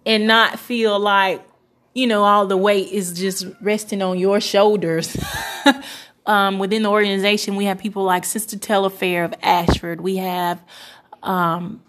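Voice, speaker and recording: Young woman in her twenties, earnest, hesitant, mid pitch. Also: little pitch movement, a little slow, a bit bright. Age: 20s. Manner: earnest, hesitant with many fillers. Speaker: pastor's wife and church first lady. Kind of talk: interview answer, casual and reflective.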